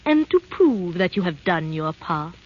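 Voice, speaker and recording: A woman's voice: lowish voice, big pitch swings, normal speed, husky. A cold, menacing evil queen. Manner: commanding, menacing. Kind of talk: 1930s animated film acting. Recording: dull vintage film soundtrack.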